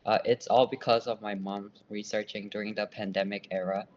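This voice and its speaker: Casting: young male student guest, Burmese accent, low voice, modest and hesitant, slightly muffled recording. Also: little pitch movement, fairly quick, darkish tone. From Myanmar. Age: young adult. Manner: self-deprecating, hesitant. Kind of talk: casual podcast interview.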